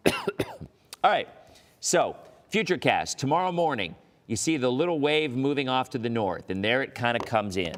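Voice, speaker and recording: A man's voice: mid-range voice, in a large hall. A middle-aged man hosting a live weather stream, chatting casually and rambling with frequent asides while losing his voice.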